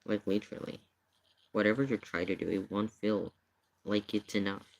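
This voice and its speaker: A man's voice: on the low side, level tone, moderate pace, vocal fry, low-quality recording. A man giving casual live commentary in an emphatic, questioning way, often repeating his points. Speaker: streamer reacting to paranormal investigation video.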